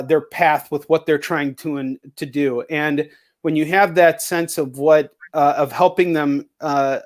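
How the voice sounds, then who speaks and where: Rambling male host, slightly high voice. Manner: rambling, rephrases questions repeatedly. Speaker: host interviewing a guest. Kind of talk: casual livestream chat.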